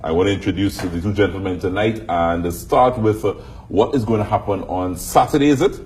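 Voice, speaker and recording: A man hosting a live sports TV show, prompting his guests with brisk questions. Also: deep voice, darkish tone, husky, poor audio. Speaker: sports TV host. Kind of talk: live TV interview.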